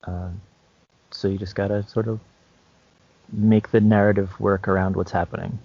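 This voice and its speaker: A man's voice: fairly low pitch, level tone, normal speed. A man co-hosting a podcast, chatting casually in a hesitant, loosely phrased, easygoing way. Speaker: podcast co-host, game master. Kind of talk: casual chat giving gaming advice. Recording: dull, lo-fi, dry podcast audio.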